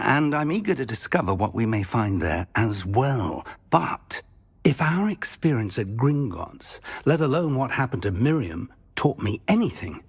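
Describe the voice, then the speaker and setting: An actor playing an older wizard professor, a kindly mentor with a husky, measured voice. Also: male voice, mid-range voice, heavily acted. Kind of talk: game cutscene acting.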